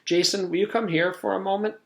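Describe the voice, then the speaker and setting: A man voicing a strict, brisk woman schoolteacher giving firm instructions in a storybook read-aloud. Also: high voice, fairly even intonation, gravelly, pitched above the performer's own voice. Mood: self-satisfied.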